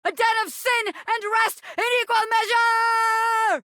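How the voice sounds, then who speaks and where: A woman voicing a cocky, bossy small-time gangster boss, a living pin in a video game, who talks tough but has a soft spot. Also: extremely high pitch, fairly even intonation, slow, full character voice. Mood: exclaiming, flamboyant. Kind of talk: video game character voice acting.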